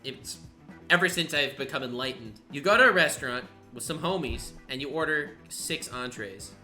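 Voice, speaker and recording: A man's voice: mid-range voice, moderate pace, faint music behind. A man from Canada hosting a casual podcast chat, joking and laughing often as he asks questions. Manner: animated, laughs often, jokey. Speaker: podcast host.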